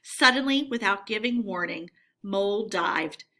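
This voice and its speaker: A woman narrating a storybook read-aloud in an expressive, measured, teacherly way. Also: mid-range voice.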